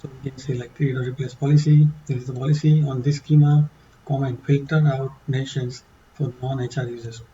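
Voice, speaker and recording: Man with a dark, muffled voice. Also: mid-range voice, little pitch movement, a little hiss or hum. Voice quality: non-native English accent. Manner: halting, many fillers and restarts. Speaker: tutorial presenter. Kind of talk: casual screen-share walkthrough.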